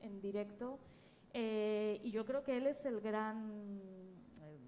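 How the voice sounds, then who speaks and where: A woman with a Castilian Spanish accent talks enthusiastically in a panel discussion. Her voice is warm and mellow at an ordinary pitch, with faint noise from the live event behind her. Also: slow pace. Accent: Spanish from Spain. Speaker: panelist, podcast producer. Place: live round-table event.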